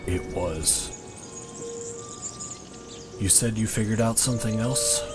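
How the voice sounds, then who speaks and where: A man playing a grave, measured elf druid father in a fantasy audio drama. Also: on the low side, over music. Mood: grave, thoughtful.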